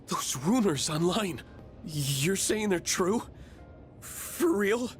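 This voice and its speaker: A man's voice: sits high, animated, slow pace, soft background music. A man playing a frightened, foul-mouthed young man in a video game. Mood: disbelief.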